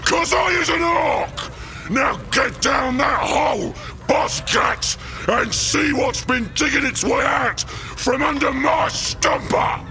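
Big dumb cockney orc boss, gravelly n slow, boastful, rough grammar, audio drama w music behind. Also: male voice, medium pitch, big pitch swings, bright, forceful tone, heavily acted. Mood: angry. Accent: English, working-class London. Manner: blustering, rough ungrammatical speech.

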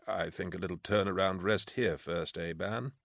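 A man voicing a gruff, commanding military commissar in an audiobook, dry and sardonic. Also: low-pitched, animated, normal speed, a bit bright, no echo. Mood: dry, gentle. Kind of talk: audiobook character acting.

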